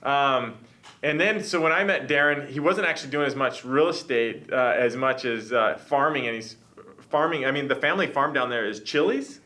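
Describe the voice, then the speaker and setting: A man's voice: mid-range voice, normal speed, bright, forceful tone. Male interview host, mid-range voice, casual and enthusiastic, rambling conversational delivery.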